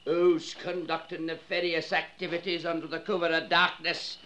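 An actor playing a pompous, officious village constable in a 1950s radio drama. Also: male voice, on the high side, level tone, normal speed, pushed and bright.

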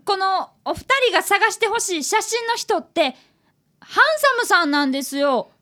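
Picture an earnest young schoolboy detective, played by a woman, with a very high, bright voice. He is polite and inquisitive, and his delivery swings up and down in radio-comedy style. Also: expressive, moderate pace, heavily acted. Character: eager, polite fifth-grade boy, apprentice detective. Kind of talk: radio comedy drama acting.

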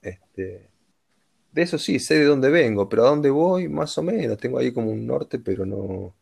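A man's voice: mid-range voice, animated, normal speed, vocal fry, a little mellow, husky. A man with an Argentine Rioplatense accent, talking reflectively and philosophically in a casual conversation.

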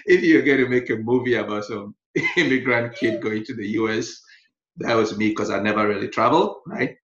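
A man from Ghana, a lawyer and guest on a podcast, telling his story in a relaxed way and laughing now and then. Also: medium pitch, fairly quick. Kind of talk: interview storytelling. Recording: echoey, dull lo-fi sound.

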